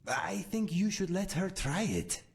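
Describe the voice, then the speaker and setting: A man voicing a gravelly cat-folk warrior. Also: high-pitched, measured pace, heavily acted. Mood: earnest. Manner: earnest, formal, calm. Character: loyal male cat-folk warrior, gentle and earnest.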